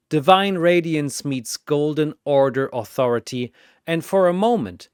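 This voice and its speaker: Dramatic guy narrating epic battle story, unhurried, very animated pitch. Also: dry recording, clean studio sound.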